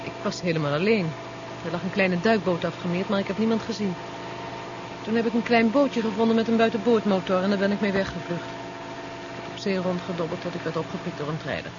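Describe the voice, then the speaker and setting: A woman's voice: medium pitch, steady tone, fairly quick, natural voice. An actress plays a female survivor, subdued and plain-spoken. She is slightly hesitant as she talks. The vintage radio drama sound is dull and noisy. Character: Vel, subdued female survivor, companion to hero. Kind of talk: 1970s Dutch radio drama acting. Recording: muffled noisy 1970s radio recording.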